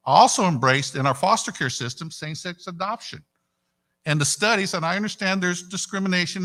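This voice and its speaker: A middle-aged man answering a debate question as a candidate, emphatic and deliberate, restating his points. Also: on the high side, a bit bright. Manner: emphatic, deliberate, repeats himself. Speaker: judicial candidate.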